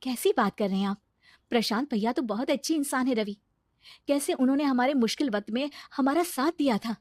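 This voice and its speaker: Woman acting firm, high-voiced self-reliant wife. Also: fairly quick, a little mellow, no room sound, studio quality. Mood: defensive. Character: strong, self-reliant wife, wronged but composed.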